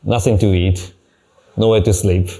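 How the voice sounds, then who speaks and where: A man with a Japanese accent speaks in a deep voice as a guest in a panel talk. He explains things conversationally in short, halting phrases, as a non-native speaker. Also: fairly even intonation, warm tone. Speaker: panel guest, Buddhist monk.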